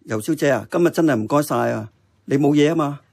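A man acting a kindly older uncle and diner boss with a gentle, solicitous manner. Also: on the high side, fast talker, husky. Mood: kindly, concerned. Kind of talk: radio drama acting.

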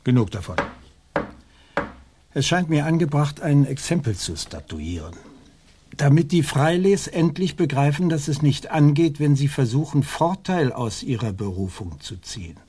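An actor playing a stern, self-righteous colonial judge in a radio play, formally pronouncing judgment in a husky voice. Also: male voice, fairly high pitch, animated, moderate pace, warm tone. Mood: stern, cold.

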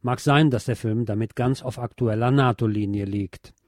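A man hosting a radio programme, delivering a measured, deliberate film review as a monologue. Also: mid-range voice, darkish tone, dry recording, clean studio sound. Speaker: radio host.

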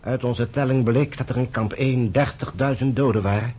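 An actor in a radio play, playing a troubled, conscientious British general, grave and measured, with a husky voice. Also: male voice, medium pitch, darkish tone, natural voice. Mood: grave testimony. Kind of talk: Dutch radio play acting.

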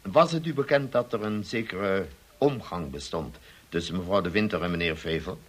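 An actor playing a formal, authoritative police colonel in a radio play, questioning in a measured, stern way. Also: male voice, deep voice, husky. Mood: formal, probing. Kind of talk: Dutch radio drama acting.

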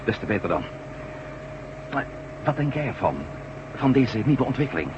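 An impulsive male reporter-adventurer played by an actor in a radio play. The pitch swings in a lively way, and the old recording is dull with clear background noise. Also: moderate pace, lightly acted. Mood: thoughtful. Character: impulsive, decisive ex-astronaut reporter turned adventurer. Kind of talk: radio drama acting.

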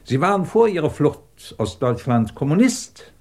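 A man's voice: on the high side, sing-song, a little slow, a little mellow. A man acting a middle-aged, imperious and sardonic British professor who runs a research department, in a commanding 1950s German radio drama style. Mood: probing, intense. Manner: commanding, clipped, probing, sardonic.

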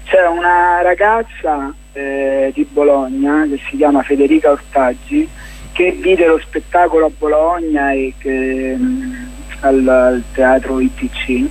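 Male interview guest, husky, higher-pitched, reflective and halting delivery. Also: a little mellow, rough recording. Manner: halting, searching for words, many fillers and restarts. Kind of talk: reflective radio interview answers.

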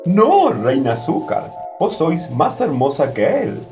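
An actress playing an enchanted magic mirror, solemn and deferential as it flatters, in a theatrical radio drama. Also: female voice, low-pitched, unhurried, heavily acted. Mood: solemn, flattering. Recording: music behind.

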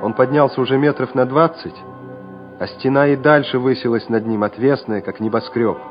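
A man narrating a wartime radio drama in a measured, solemn, scripted way. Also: medium pitch, steady tone, close to an everyday voice. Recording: dull old radio recording with music.

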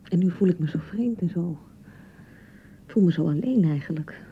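A woman's voice: lowish voice, lively intonation, normal speed, slight background noise. A woman acting a touchy, hurt and unsure young newlywed bride in a radio drama, defensive and hesitant in manner. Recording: dull, slightly muffled 1990 radio broadcast.

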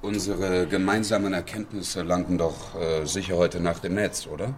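Guy playing sardonic cop, really low voice, dry and a bit hesitant, crime audio drama, faint background noise. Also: steady tone, normal speed, lightly acted. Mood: ironic. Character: dry, wary, sardonic male police detective. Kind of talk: naturalistic radio crime drama acting.

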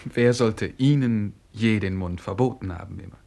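An actor in a German radio play playing a courteous, reserved young prince who speaks formally and hesitantly in a husky voice. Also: male voice, lowish voice, moderate pace, mellow. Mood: earnest.

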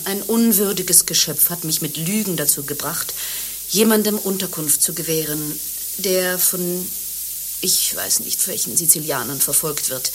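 A woman's voice: on the low side, a little hiss or hum, close to an everyday voice. A woman playing a foul-mouthed abbess with a shady past in a satirical radio play, swinging theatrically between curses and pious apology. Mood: agitated, apologetic. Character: foul-mouthed abbess, reformed prostitute, falsely contrite.

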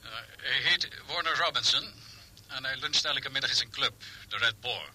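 A man's voice: medium pitch, normal speed, bright, forceful tone, low-quality recording. An actor playing a cautious, worldly newspaperman in a radio play, speaking informally and warily to a friend. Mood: wary, friendly. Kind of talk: Dutch radio play acting.

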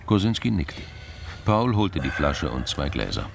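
A man's voice: rumbling low, sing-song, a little slow, gravelly, very noisy. A man reading scripted narration as the storyteller of a German radio crime drama.

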